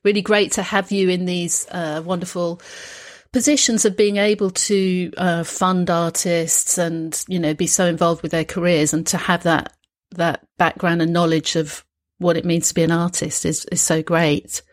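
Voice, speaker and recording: A woman's voice: on the low side, little pitch movement, moderate pace. A woman hosting a panel interview, praising her guests and asking rambling questions with fillers. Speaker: interview host.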